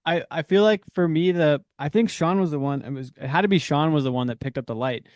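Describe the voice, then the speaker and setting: A man's voice: on the high side, a little mellow, dry recording, studio quality. A man co-hosting a podcast, joking through casual chat. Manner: quick, joking, full of fillers. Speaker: podcast co-host.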